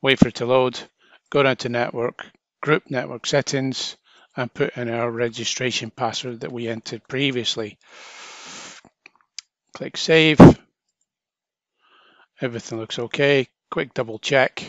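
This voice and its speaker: A man narrating a step-by-step software tutorial in an informal, chatty way, talking through each action as an instructor. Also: mid-range voice, steady tone, measured pace, pauses often, dry recording, lo-fi sound. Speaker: training video instructor.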